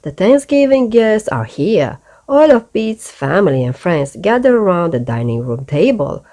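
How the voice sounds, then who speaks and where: Female storyteller for kids' picture books, slightly low voice, expressive, unhurried pacing. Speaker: storyteller reading a children's book aloud. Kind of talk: read-aloud bedtime storytelling.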